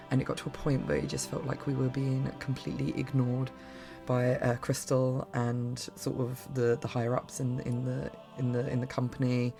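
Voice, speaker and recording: Croaky, hoarse woman rambling happily. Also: rumbling low. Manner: rambling, gushing, many restarts. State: croaky from a bad cough. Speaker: game reviewer. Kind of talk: casual spoken review.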